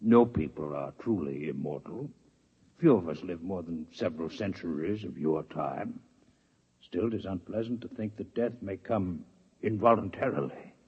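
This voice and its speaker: A man playing a calm, formal male alien doctor from another planet in a 1950s radio drama, speaking with precise, unhurried diction. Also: sits low, breathy edge. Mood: measured. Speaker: visiting alien scientist. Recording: dull lo-fi 1950s radio broadcast.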